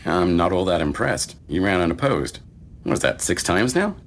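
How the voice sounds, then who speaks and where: A man plays a doctor in his fifties with an extremely low, expressive voice. He is sardonic and wry, delivering lines deadpan as in a screenplay reading. There is faint rumble in the recording. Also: moderate pace. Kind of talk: screenplay table read.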